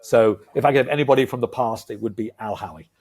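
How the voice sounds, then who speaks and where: A husky-voiced man in his fifties chats on a podcast with real enthusiasm. He tells stories in a loose, rambling way, with plenty of "uh"s and sudden jumps in excitement. His pitch sits in the middle for a man, with an edge of breathiness. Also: quick pace. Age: 50s. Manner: enthusiastic, animated storyteller with many fillers. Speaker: podcast co-host. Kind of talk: casual podcast chat.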